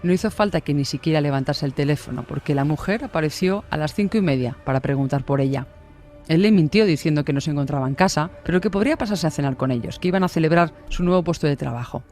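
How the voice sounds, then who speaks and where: A man narrates a true-crime story in a scripted, dramatic style. His voice sits a little high for a man. Faint music plays behind him. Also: fast, a little mellow.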